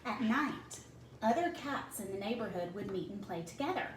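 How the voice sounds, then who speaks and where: A woman reading a picture book aloud to young children in a deliberate way, pausing for asides to the listeners. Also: mid-range voice, lively intonation. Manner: slow, deliberate phrasing for children. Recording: roomy, distant mic with clear background noise.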